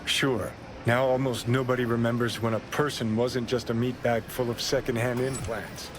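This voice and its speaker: A man playing a cynical game rockstar with a sardonic drawl. He is bitter, mocking and rebellious, speaking at a middle pitch over prominent music. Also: level tone, moderate pace, lightly acted. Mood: bitter, preaching. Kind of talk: cinematic game acting.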